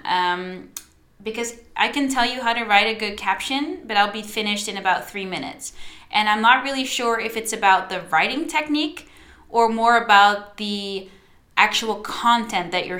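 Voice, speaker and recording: A woman's voice: mid-range voice, pushed and bright. A young woman from the Netherlands whose first language is Dutch, hosting her own podcast in a chatty, casual way and talking straight to her listeners. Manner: chatty, addresses listeners directly, many fillers. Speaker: podcast host and social media coach. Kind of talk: casual solo podcast monologue.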